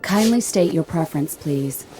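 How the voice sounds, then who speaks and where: A woman's voice: sits low, lively intonation, normal speed, music in the background, poor audio. A woman playing a polite, coldly procedural spaceship computer in a science fiction radio drama, speaking in stilted, impersonal announcements.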